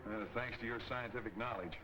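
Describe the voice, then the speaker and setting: An actor playing a confident playboy newspaper publisher in a 1940 film serial, breezy, clipped and self-assured. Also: male voice, lowish voice, brisk, background noise, close to an everyday voice. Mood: confident, friendly.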